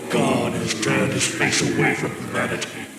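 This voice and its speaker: An actor playing a devilish, gloating villain father in a video game, grandiose and cruel. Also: close to an everyday voice. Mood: gloating menace. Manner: slow, grandiose, menacing. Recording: cavernous reverb, heavy rain and thunder noise.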